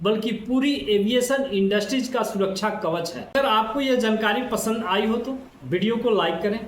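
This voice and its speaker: A man's voice: sits high, normal speed. A man reading a scripted educational explainer, addressing listeners directly in an explanatory way. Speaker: narrator of an educational explainer video. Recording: roomy echo, faint background noise.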